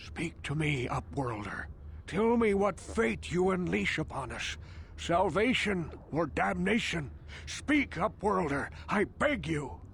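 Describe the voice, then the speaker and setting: A man's voice: high voice, big pitch swings, slow pace, vocal fry, a bit bright, slight background noise, exaggerated voice. A man voicing a hundred-year-old village outcast in a video game, a mystical, wary elder who speaks in formal, portentous questions. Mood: urgent, prophetic. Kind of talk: theatrical video game voice acting.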